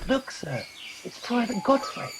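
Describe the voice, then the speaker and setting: A man's voice: extremely high pitch, big pitch swings, a little slow, noisy background, heavily acted. A man playing a naive, sulky young private and mummy's boy in a comic radio play, childish and a little whiny.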